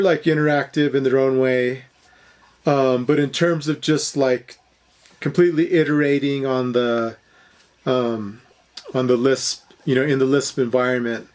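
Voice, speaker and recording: A man giving a casual, unscripted explanation as a programming video host, halting often with lots of fillers as he thinks aloud. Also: medium pitch, a little mellow.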